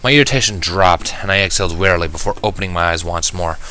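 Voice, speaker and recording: A man's voice: low-pitched, fast, bright, forceful tone, slight background noise. A man reading a fan-fiction story aloud as scripted first-person narration.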